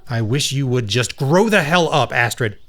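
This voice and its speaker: Casting: nagging, exasperated boyfriend, mid-pitched, irritated and lecturing, audio drama. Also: male voice, animated, normal speed, fairly bright tone. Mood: frustrated, defensive. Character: exasperated, defensive man, nagging partner.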